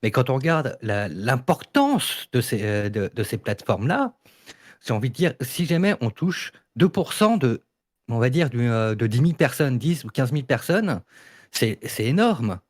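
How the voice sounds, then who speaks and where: A man's voice: mid-range voice, animated, normal speed. Man debating casually, explanatory and insistent. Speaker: debate participant. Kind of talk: casual panel debate about audio fiction.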